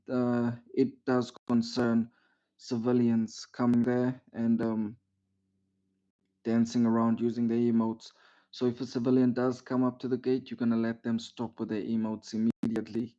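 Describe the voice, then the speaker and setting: A man's voice: medium pitch, mellow, dull audio. A man training a recruit in a roleplay game, giving formal, instructive feedback full of "sir" and "um". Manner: instructive, formal, ends lines with "sir", many "um"s.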